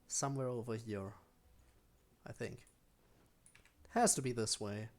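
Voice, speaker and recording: A man's voice: mid-range voice, fairly even intonation, measured pace. Man casually commentating his gameplay. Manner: thinking aloud while playing. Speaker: game streamer.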